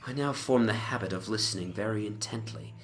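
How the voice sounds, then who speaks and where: Man performing an obsessed morbid gentleman, lowish voice, theatrical Gothic audiobook narration, deliberate phrasing, breathy hushed dips, ambient noise behind. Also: close to an everyday voice. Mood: dreamy obsession. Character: obsessed, morbid gentleman recounting his haunted past. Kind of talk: dramatic Gothic horror audiobook reading. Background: atmospheric horror soundscape.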